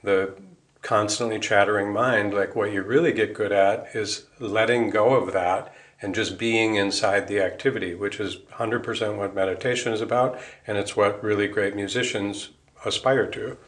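A man's voice: fairly low pitch, fairly even intonation, moderate pace, a bit bright. An American man answering interview questions in a reflective, informal way, explaining ideas as he thinks aloud and rephrases. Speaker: interview guest, musician and Buddhist priest.

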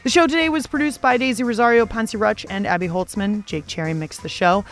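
A woman's voice: mid-range voice, a little fast, soft background music. An American woman speaking as a podcast host, with a playful, jokey way of narrating and interviewing. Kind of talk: scripted radio narration and interviewing.

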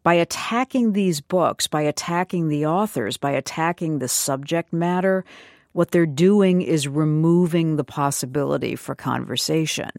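A woman's voice: deep voice, moderate pace, darkish tone, dry recording, clean studio sound. A woman conducting a conversational interview as the interviewer.

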